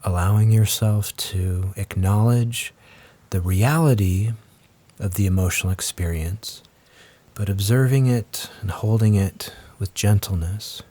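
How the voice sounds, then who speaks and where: A man leads a guided meditation as the teacher, speaking gently and in a measured way with long pauses. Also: on the low side. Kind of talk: guided meditation, slow scripted instruction.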